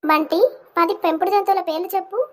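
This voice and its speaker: A cartoon performer voicing a bossy schoolteacher in a squeaky cartoon manner. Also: fairly even intonation. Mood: teasing. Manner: squeaky, quick cartoon delivery.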